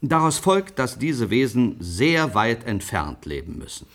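An actor playing an insistent, dismissive scientist in an emphatic, lecturing radio drama style. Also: male voice, medium pitch, big pitch swings, measured pace, a bit bright. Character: insistent, dismissive male scientist professor in a future. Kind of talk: German radio drama acting.

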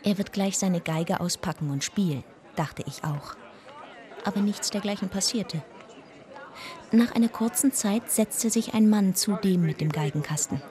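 An actress playing an observant, gently wry woman narrator, telling her travel story in a measured radio-drama style. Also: medium pitch, close to an everyday voice. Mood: watchful. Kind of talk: scripted first-person narration. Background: music.

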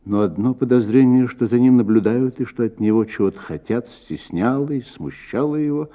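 A man reading a literary story aloud in an expressive, measured, dramatic way with deliberate pauses. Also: medium pitch, muffled recording. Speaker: actor reading a short story.

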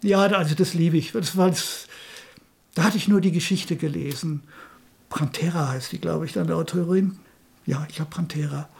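Creaky, husky older man reminiscing. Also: on the high side, a little mellow. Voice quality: raspy, creaky. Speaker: radio drama director. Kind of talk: reminiscing in an interview.

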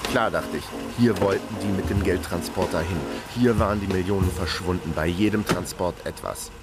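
A man's voice: sits low, moderate pace, loud music behind the voice, natural voice. An actor playing a world-weary investigator, narrating his case drily in a radio crime drama. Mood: wry, reflective. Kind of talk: scripted first-person radio drama narration.

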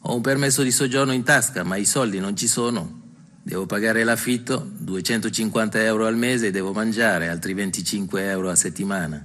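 An actor playing a weary migrant street vendor who dreams of home, in a theatrical monologue. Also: male voice, fairly low pitch, a little fast, a bit bright, natural voice. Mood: resigned. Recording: reverberant hall with music behind.

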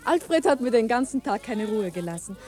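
Gracious, high-voiced young dancer. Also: female voice, big pitch swings, moderate pace. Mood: friendly, obliging. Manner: polite, gentle. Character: gracious, grateful young ballet dancer, a neighbour. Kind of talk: radio play acting.

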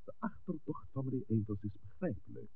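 An actor playing an authoritative village priest in a 1960s radio drama, speaking in a measured, formal, admonishing way. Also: male voice, mid-range voice, expressive, creaky. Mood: measured, diplomatic. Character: authoritative village priest, composed, stern peacemaker. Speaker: pastor. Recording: dull, noisy 1960s radio recording.

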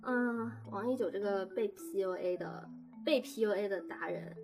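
A woman in casual behind-the-scenes chat, talking in halting, repetitive phrases. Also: mid-range voice. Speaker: audio drama crew member. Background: music.

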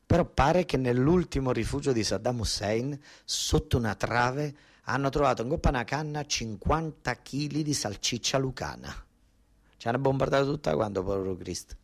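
A male storyteller with a Basilicata accent delivers a theatrical monologue in a medium-pitched voice. His speech is colloquial and tinged with dialect. He repeats phrases for emphasis and addresses listeners as though they were relatives at home. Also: lively intonation, normal speed. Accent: southern Italian, Basilicata. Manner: repetitive, emphatic, explaining to listeners as if to family. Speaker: stage actor performing a narrative monologue.

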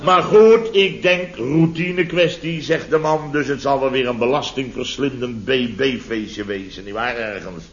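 A man's voice: medium pitch, natural voice. An actor as a flustered male contractor spins tales of domestic chaos in an old radio comedy. His mid-range voice halts, repeats itself and keeps tagging questions onto statements. The sound is dull and dated. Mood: animated storytelling. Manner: halting, repetitive storytelling with frequent tag questions. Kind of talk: 1970s radio comedy acting. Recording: dull vintage radio recording.

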